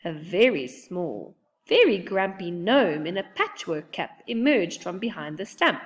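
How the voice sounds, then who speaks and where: A woman reading a children's book aloud in an expressive narrating style. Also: on the low side, unhurried. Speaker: storybook reader.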